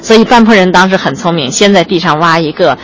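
A woman's voice: lowish voice, lively intonation, fast. A woman giving an unscripted guided tour, explaining things step by step as she points them out. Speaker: museum guide. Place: on location at an exhibition site. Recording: clearly noisy on-site ambience.

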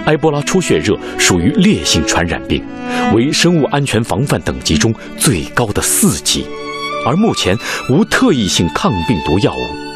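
A male narrator delivers scripted drama narration, solemn and measured. His voice is on the low side with very wide swings of pitch, over prominent violin music. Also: wildly swooping pitch.

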